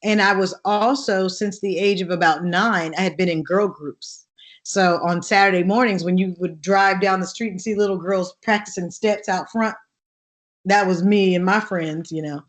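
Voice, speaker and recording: A woman with a deep contralto voice, telling stories as an interview guest in an animated way, laughing and acting out the voices of people she quotes. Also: moderate pace. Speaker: actress and singer guest. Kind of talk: lively interview storytelling.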